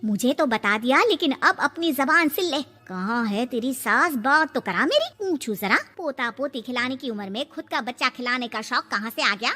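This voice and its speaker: A woman's voice: high-pitched, animated, normal speed, few pauses, sharp tone, heavily acted. Woman doing a bossy indian mom telling off her grown daughter, echoey, music behind. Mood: scolding. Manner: admonishing, indignant. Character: scolding, bossy middle-aged mother of a married daughter. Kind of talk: dramatized Hindi family comedy story. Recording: long reverb, music behind.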